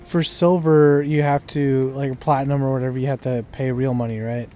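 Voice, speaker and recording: A man's voice: mid-range voice, fairly even intonation, moderate pace, mellow. A man playing a co-op game and chatting casually. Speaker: co-op gamer. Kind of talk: casual chat while gaming. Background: faint game music.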